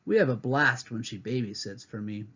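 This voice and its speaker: A man's voice: medium pitch, normal speed, fairly bright tone, slightly rough, dull audio. A man teaching a read-aloud lesson to children, reading a picture book and adding teacherly asides from home.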